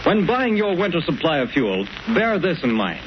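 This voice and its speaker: Vintage radio announcer, earnest ad read, fairly high voice, lo-fi with hiss. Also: male voice, very animated, moderate pace. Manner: earnest, persuasive salesman delivery. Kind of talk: 1930s radio sponsor ad read. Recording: muffled old radio broadcast with hiss.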